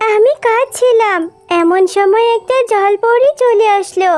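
An innocent little girl fox from a children's cartoon. The voice is extremely high, childlike and earnest. Gentle music plays underneath. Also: no room sound. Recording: music behind the voice.

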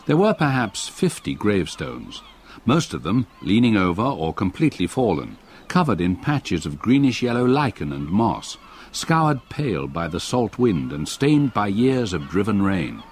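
An actor playing an older English solicitor, gravely narrating a haunting memory in a radio play. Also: male voice, lowish voice, big pitch swings, slight background noise. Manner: grave, measured storytelling. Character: haunted old English solicitor recalling past terror.

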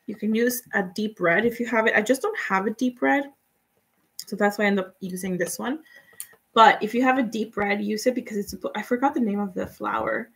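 A young woman from Guatemala whose first language is Spanish, chatting relaxed and giving step-by-step tips in a live painting tutorial. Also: medium pitch, a little fast, vocal fry. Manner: relaxed, chatty, laughs often, answers viewers' comments. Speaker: painting instructor.

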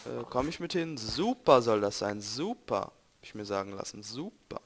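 A young man, a bit hoarse, rambling and joking to viewers while commentating his gameplay. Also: mid-range voice, big pitch swings, moderate pace. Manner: joking, rambling, self-correcting, talks to viewers. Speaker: let's play gamer.

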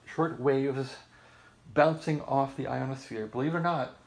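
American man, middle-aged or older, husky, creaky voice, casual rambling vlog, musing delivery. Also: medium pitch, measured pace. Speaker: vlogger showing a vintage radio. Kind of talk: casual unscripted show-and-tell. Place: bedroom in a house. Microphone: handheld camera, close behind it.

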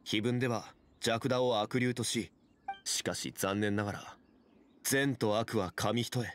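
An actor playing a calm, dignified ancient god in human form in a video game, speaking in a grave, formal, measured manner. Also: male voice, lowish voice, slight background noise, natural voice. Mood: grave, wistful. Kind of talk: video game character dialogue.

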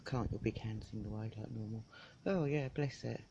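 A man's voice: fairly low pitch, moderate pace, gravelly, dark tone, dull audio. A man filming and chatting in a teasing, joking way while his friend opens a box outdoors in a garden. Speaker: co-host filming. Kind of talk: casual chat. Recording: noisy outdoor background.

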